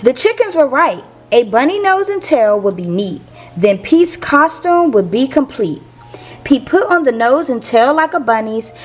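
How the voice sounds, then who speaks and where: A woman reading a children's picture book aloud in an expressive, storytelling way for young listeners. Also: sits high, a little slow. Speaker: teacher reading picture books for a channel.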